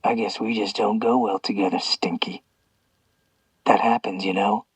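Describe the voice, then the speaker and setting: A man's voice: mid-range voice, fairly even intonation, a little slow, slightly rough, no room sound, distant mic. A man reading an audiobook, voicing a cruel, taunting male captor who drips with venom and drags out his mocking words. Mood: venomous taunting. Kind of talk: audiobook character voice.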